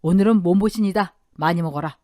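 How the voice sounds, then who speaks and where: A woman's voice: fairly low pitch, a little fast, darkish tone, no room sound, studio quality, natural voice. A woman voicing a blunt but caring older father-in-law in a radio story drama. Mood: generous, caring. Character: gruff but warm-hearted older father-in-law, a restaurant owner.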